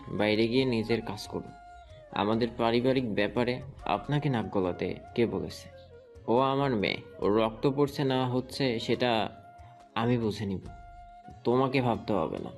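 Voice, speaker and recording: A man's voice: medium pitch, moderate pace, a little mellow, faint music behind, natural voice. A man playing a defiant, domineering middle-aged father with a stern, indignant manner in an audio story drama.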